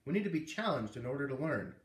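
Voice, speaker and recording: A man's voice: mid-range voice, sing-song, fast, close to an everyday voice. A man voicing a male schoolteacher from a children's novel, firm and explanatory. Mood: encouraging. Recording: roomy, distant microphone.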